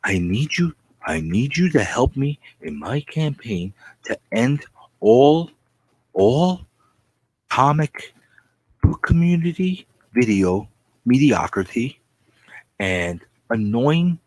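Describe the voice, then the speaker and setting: A man hosting a comic book channel, ranting comedically with theatrical repetition, stammered phrases and a husky voice that often drops into breathy whisper. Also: mid-range voice, very animated, slow, long pauses, a little mellow, dry recording, rough recording. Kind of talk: comedic rambling rant to viewers.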